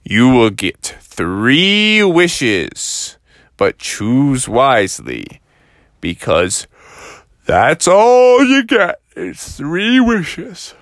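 A man's voice: medium pitch, huge pitch swings, extremely slow, a bit bright, dry recording, studio quality, exaggerated voice. A man voicing a grand, obliging storybook genie of the lamp, proclaiming yet friendly. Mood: grand, solemn.

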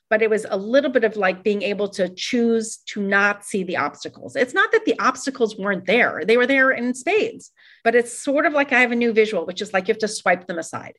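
Middle-aged woman, from Iran, interview guest, quick and animated, restarts often. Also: mid-range voice. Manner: fast, animated, with many fillers and restarts. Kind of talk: reflective interview conversation.

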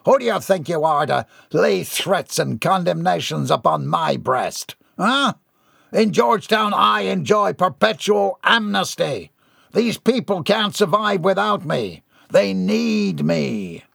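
A man voicing a pompous, self-crowning male swindler tycoon in a read-aloud story, speaking in a grand, drawn-out, theatrical way. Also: high-pitched, expressive, gravelly, fairly bright tone, no echo, clean studio sound, voice pushed up, heavily acted. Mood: indignant. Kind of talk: storybook character voice.

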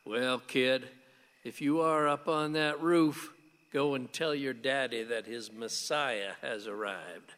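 An actor playing a stubborn conservative husband in a radio play, full of gruff sarcasm and folksy defiance. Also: male voice, mid-range voice, takes it slowly, a bit bright, in a large hall. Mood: wary, sardonic. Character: stubborn, sardonic conservative husband and gun owner.

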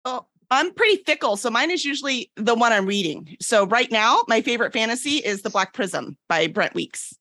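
Bright-voiced woman chatting casually. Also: fairly high pitch, expressive, moderate pace, hardly any pauses, no room sound. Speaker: podcast guest. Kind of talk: casual chat.

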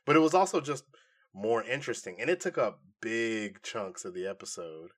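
A man's voice: on the low side, sing-song, moderate pace. A man chatting casually and giving opinions as a podcast co-host, with slangy, conversational phrasing. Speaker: podcast co-host reviewing nerd culture. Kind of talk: casual review chat.

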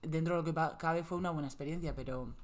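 A woman's voice: deep voice, fast. A young woman from Spain talking casually as a guest, chatty, with many fillers and frequent laughs. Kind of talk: casual conversational interview.

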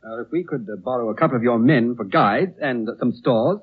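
An actor playing an impetuous, excitable, impatient young Englishman in a 1930s radio drama. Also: medium pitch, a little fast, husky, close to an everyday voice. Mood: impatient, irritated. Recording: muffled, noisy 1930s radio recording.

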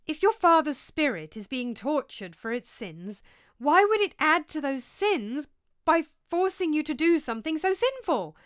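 A woman's voice: high voice, big pitch swings, measured pace, no echo, clean studio sound, pitched above the performer's own voice. Woman as a worried loyal friend, lifted voice. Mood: concerned, doubting. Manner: careful, questioning. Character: prince's worried, reasoning loyal male friend.

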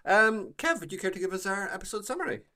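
Middle aged guy hosting a podcast, bright sharp tone, chatty, wordy, mock formal phrasing. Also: high-pitched, sing-song, fast. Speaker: podcast host. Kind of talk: casual chat.

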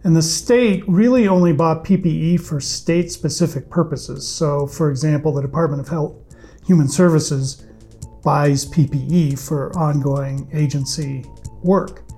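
A man speaks with an allergy-rasped voice, mellow and a touch higher than most men. He explains at an easy, measured pace, with the odd "uh" and "um". Soft music plays faintly underneath. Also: fairly even intonation. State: raspy from allergies. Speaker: podcast guest. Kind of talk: conversational interview answers.

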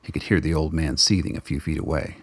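Gravelly, extremely deep male narrator. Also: quick pace, no room sound. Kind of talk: dramatic scripted narration of a radio play.

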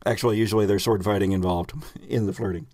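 A man's voice: on the low side, fairly even intonation, quick pace, darkish tone, no room sound, studio quality. A man hosting and narrating a tabletop roleplaying game as game master, with casual improvised phrasing and dramatic storytelling emphasis. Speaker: podcast host and game master.

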